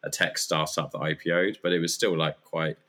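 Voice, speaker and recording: Guest, male, thirties, very low voice, loose and hesitant delivery. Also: steady tone, brisk, pushed and bright. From Germany. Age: 30s. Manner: rambling, hesitant with many fillers. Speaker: guest, community founder. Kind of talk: casual interview chat.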